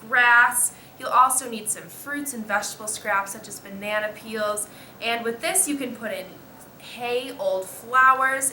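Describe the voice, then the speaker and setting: High female student voice, very bright, halting scripted explainer, roomy echo. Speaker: student guest playing composting expert. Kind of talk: scripted explanatory interview answers. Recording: echoey room with faint noise.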